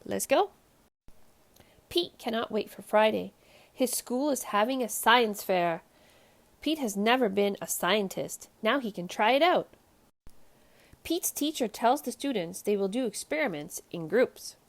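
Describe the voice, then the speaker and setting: Woman, children's story host, fairly high voice, very varied intonation, expressive storybook narration. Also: measured pace. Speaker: host of children's read-aloud show.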